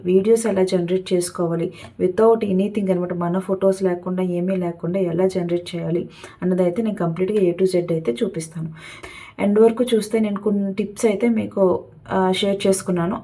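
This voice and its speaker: A woman's voice: mid-range voice, mellow, slightly rough. A woman hosting a casual step-by-step tutorial, mixing in English words. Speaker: tutorial host.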